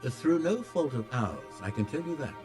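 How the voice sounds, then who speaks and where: A male actor plays a proud but defensive craftsman in a medieval video game. His mid-pitched voice swings in a lively way as he hedges and explains. Faint music sits behind him. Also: a little fast, lightly acted. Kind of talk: video game cutscene dialogue.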